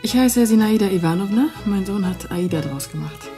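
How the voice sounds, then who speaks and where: An actress playing a guarded, wry dissident poetess in a radio play, with a dry, teasing directness. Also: female voice, mid-range voice, expressive, brisk, over music, close to an everyday voice.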